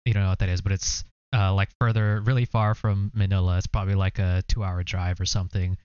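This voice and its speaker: A young man co-hosting a casual reaction chat, explaining things in a relaxed way with lots of fillers. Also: low voice, quick pace, smooth, no room sound, lo-fi sound. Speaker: co-host.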